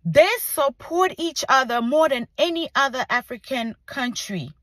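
A woman's voice: high-pitched, animated, measured pace, fairly bright tone, no room sound. Ghanaian-American woman, emphatic and passionate. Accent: between Ghanaian and American. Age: 40s. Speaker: content creator. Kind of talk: direct-to-camera vlog.

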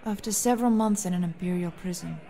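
A woman playing a composed, earnest noble rebel leader who speaks formally and gravely in a science fiction audio drama. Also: on the low side, fast talker, mellow. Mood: worried.

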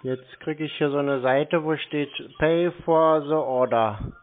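A man chatting casually on a phone call in a deadpan, dithering, colloquial way. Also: a little high, measured pace. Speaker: scambaiter playing along with caller. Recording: thin narrowband phone-like sound.

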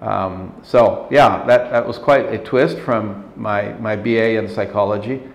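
A man in his sixties, an interview guest, talking in a measured, thoughtful way with frequent restarts in an office meeting room. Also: on the low side, fairly even intonation, gravelly. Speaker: interview guest, leadership consultant. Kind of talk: reflective interview talk. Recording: roomy indoor sound.

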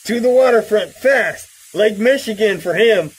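Man doing a high-pitched, brash gangster henchman. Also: expressive, measured pace, breathy edge, full character voice, low-quality recording. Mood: gloating. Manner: terse, theatrical. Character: 1930s Chicago gangster henchman, brash and obedient. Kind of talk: radio-play villain acting.

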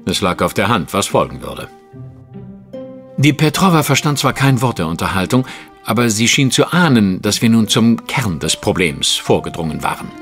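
A man's voice: fairly low pitch, very animated, moderate pace, a bit bright. An actor playing a refined, self-assured Victorian detective, narrating his case in an audio drama with dry, formal, precise wit. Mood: dry, guarded. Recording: faint music under the voice. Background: music.

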